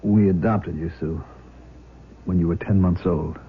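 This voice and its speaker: An actor playing a gentle, apologetic older father in a 1970s radio drama, speaking carefully and hesitantly. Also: deep voice, fairly even intonation, measured pace. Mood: earnest explaining. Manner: hesitant, careful, repeating words. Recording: dull lo-fi radio broadcast, faint noise.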